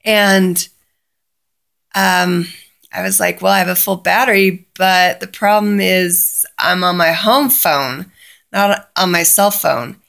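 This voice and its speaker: A woman's voice: on the low side, fairly even intonation, slow, gravelly, fairly bright tone. A woman casually telling a personal story in a chatty way, often quoting both sides of a conversation.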